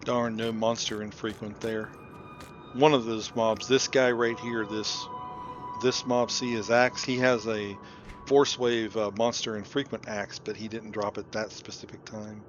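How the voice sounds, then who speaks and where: A man's voice: fairly low pitch, little pitch movement, a little slow, highs cut off. A man giving casual gameplay commentary as host of a playthrough, talking informally and thinking aloud. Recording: loud music behind the voice. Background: game music.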